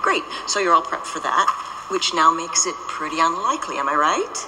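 An actress playing a brisk female magic user with a breezy, teasing manner. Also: on the low side, sing-song, bright, forceful tone, slight background noise, heavily acted, low-quality recording.

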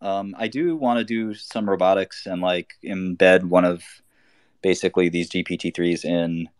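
A man's voice: low-pitched, steady tone, normal speed, smooth, dry recording, studio quality. A man from Albania hosting a live audio chat, talking loosely with many fillers and restarts. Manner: rambling, lots of fillers and restarts. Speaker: host.

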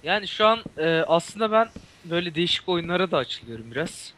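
A man joins a gaming video as a guest. His voice is high and bright. He talks casually and haltingly, restarting and correcting himself as he goes. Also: big pitch swings. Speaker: friend and guest co-commentator. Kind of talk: casual chat during a game.

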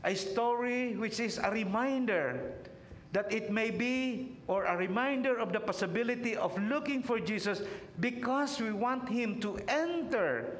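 A man in his thirties preaching a sermon from a church pulpit into a stand microphone, slow and measured, letting each phrase fall away at its end. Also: animated, fairly bright tone. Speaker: priest. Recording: roomy church reverb, faint low rumble.

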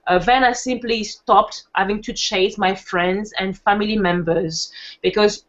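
A woman's voice: mid-range voice, fairly even intonation, a little slow. A woman with a French accent giving a casual online presentation, chatty with lots of fillers and restarts. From France. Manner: chatty, laughs easily, many fillers and restarts. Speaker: webinar presenter. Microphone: video call. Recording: muffled online call audio.

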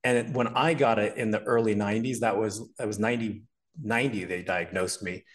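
A man in his forties from Montreal, a fitness expert guesting on a podcast, telling his life story in an animated, chatty way. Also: on the low side, fairly quick. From Montreal, Canada. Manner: animated, storytelling, laughs often. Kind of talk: casual interview chat.

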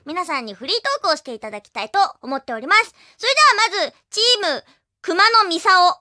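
A woman's voice: very high-pitched, lively intonation, fast talker, bright, forceful tone, dry recording, studio quality. A woman hosting a casual, playful free-talk segment among fellow voice actors. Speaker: cast member hosting commentary. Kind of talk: lively free-talk for drama CD.

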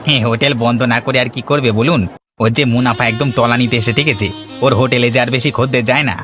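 A man's voice: medium pitch, steady tone, quick pace, crowd noise, natural voice. A man voicing a crafty eatery helper in a cartoon story, sly and obsequious with his boss. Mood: sly, servile. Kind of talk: Bengali cartoon story voice acting. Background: music.

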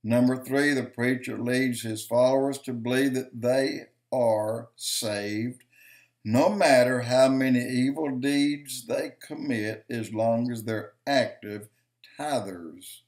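An older man giving slow, emphatic preaching-style commentary to a webcam in his home office, counting off points and repeating lines for stress. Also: mid-range voice, fairly even intonation, darkish tone. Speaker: video commentator.

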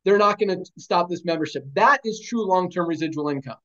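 A man's voice: sits high, fairly quick, a bit bright, dull audio. A man in his forties hosting a team video call, rallying people with repeated hype phrases. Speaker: host of a sales team call. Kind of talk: energetic motivational call. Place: home room, video call. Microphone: webcam on a video call.

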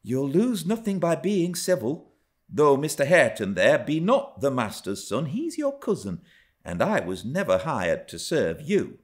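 Blunt serving woman performed by a man, storybook role, high voice, reproving and standing her ground. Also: very animated, a little slow. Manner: firm, reproving.

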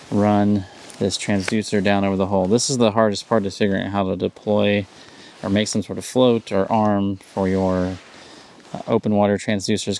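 Guy in his 30s out on the ice, low gravelly voice, chill explaining his fishfinder setup to the camera. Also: slight background noise. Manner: explanatory, relaxed, upbeat when catching fish. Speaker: fishing channel host. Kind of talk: casual how-to demonstration. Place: outdoors on a frozen lake in snowy woods.

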